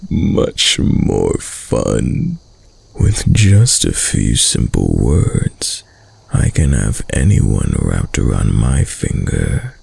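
An extremely deep, gravelly dark prince voiced by a man in an intimate audio roleplay. He is possessive and sinister, speaking very slowly with commanding, silky menace. The voice is heavily breathy and often sinks into a whisper. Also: full character voice. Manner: slow, commanding, silky, lingering pauses. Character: dominant, possessive dark-magic prince, seductive sinister villain. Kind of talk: intimate audio roleplay monologue, slow and hypnotic.

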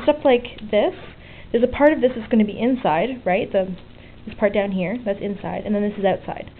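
A woman talks through a hands-on project in a casual, explanatory way, with a medium-pitched voice. The sound is thin and phone-like, as from a small camera mic, with some faint noise behind her. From outside the US. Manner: explanatory, conversational. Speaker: DIY electronics video maker. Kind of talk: informal hands-on tutorial narration. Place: indoors at a desk. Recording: muffled phone-like lo-fi audio, noticeable noise.

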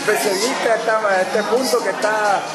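Bilingual male interviewer in a loud restaurant. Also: sits high, fairly even intonation, normal speed. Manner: encouraging, hesitant with "eh" fillers. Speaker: interviewer, channel host. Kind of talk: casual interview, switching between Spanish and English. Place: busy restaurant with live music. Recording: noisy restaurant with loud music and crowd.

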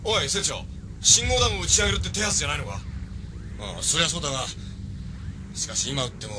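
A seasoned, decisive male spaceship captain in a radio drama. He talks fast and commandingly in a mid-pitched, very animated voice, brusque yet protective. Engine rumble is clear behind him. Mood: urgent. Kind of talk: Japanese radio drama acting.

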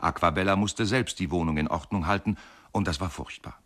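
An actor narrating a radio play as a wry, courteous older husband, measured and dryly ironic. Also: male voice, low voice, a bit bright. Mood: wry, reminiscing. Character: wry, courteous older husband reminiscing about his marriage. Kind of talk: radio play monologue, first-person narration.

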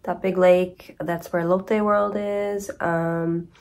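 Slow-talking congested young woman. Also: on the low side, flat delivery, a little mellow. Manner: chatty, rambling. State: congested from a cold. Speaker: vlogger. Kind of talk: casual chat to camera. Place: small apartment room with kitchen, indoors.

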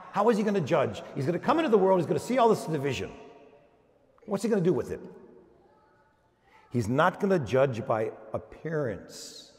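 Older American preacher, gravelly and echoing. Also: male voice, mid-range voice. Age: older, around 70s. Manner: emphatic, deliberate, repetitive phrasing. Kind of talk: sermon. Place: large church, speaking from the pulpit. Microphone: pulpit gooseneck microphone. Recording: reverberant church hall.

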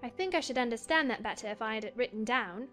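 A woman acts out a young storybook girl, polite and inquisitive. Her voice is high and matter-of-fact, with light music behind it. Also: expressive, fast, a bit bright. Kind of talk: dramatized audiobook acting.

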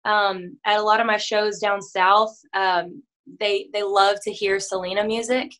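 A woman's voice: medium pitch, little pitch movement, a little slow, a bit bright. A young woman who is a country singer, talking with a podcast host in a friendly, chatty way with frequent fillers, from a room at home over a video call. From Texas. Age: young adult. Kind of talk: casual interview chat. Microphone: video call, webcam.

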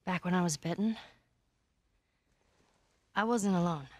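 A woman's voice: on the low side, unhurried, a little mellow, dry recording. A woman playing a vulnerable, plain-spoken American teenage girl survivor in a video game. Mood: sad, hesitant. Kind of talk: cinematic video game acting.

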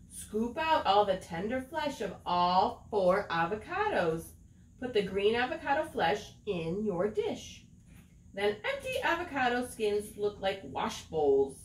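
Woman, middle-aged, children's read-aloud. Unhurried, animated melody, expressive storytelling. Echoey home room. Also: fairly high pitch. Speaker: picture book reader. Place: small room at home, indoors. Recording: roomy home recording.

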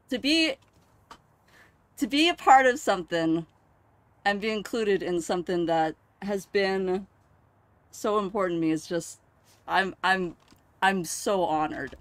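A woman streamer reacting emotionally on a livestream, choked up, halting and grateful. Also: fairly low pitch, no echo. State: choked up, tearful.

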